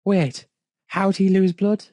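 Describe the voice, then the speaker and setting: A man's voice: sits high, little pitch movement, slow pace, mellow, dry recording. A man reading a story aloud and commenting on it casually in an incredulous, nitpicking way. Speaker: fan fiction reader and commentator.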